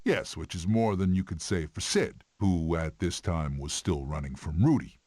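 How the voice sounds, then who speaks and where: An actor playing a gruff, sardonic male animal in an animated film, telling stories with dry sarcasm. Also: low-pitched, moderate pace, slight background noise, natural voice.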